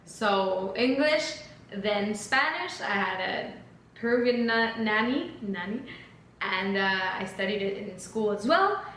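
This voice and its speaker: A woman's voice: medium pitch, lively intonation, slow pace, fairly bright tone. A young woman from Italy, a native Italian speaker, chatting casually on a podcast with light, giggly teasing. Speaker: podcast co-host, interviewed guest. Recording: echoey room with faint background hum.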